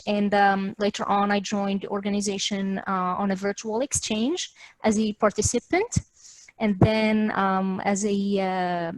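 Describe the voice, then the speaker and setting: A woman's voice: mid-range voice, no echo, rough recording. A young adult woman from Morocco, presenting research in an explanatory way, hesitating and rewording as she goes. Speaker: doctoral student presenting research. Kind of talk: online academic slide presentation. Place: virtual meeting, video call.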